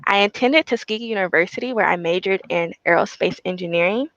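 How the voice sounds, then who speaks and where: A woman's voice: medium pitch, fast talker, fairly bright tone, dry recording, dull audio. A young woman from Texas giving an upbeat, enthusiastic talk to teens about her work as a systems engineer. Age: young adult. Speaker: systems engineer, guest speaker.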